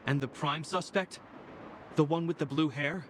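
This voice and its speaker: An actor playing a stern, dutiful guard captain in a video game, speaking formally and with command. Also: male voice, a little high, moderate pace, background noise, close to an everyday voice. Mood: stern, composed.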